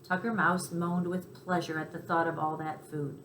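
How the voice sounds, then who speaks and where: A woman reading a children's story aloud as narrator, with expressive scripted delivery. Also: lowish voice, moderate pace. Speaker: story reader. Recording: roomy echo, faint background noise.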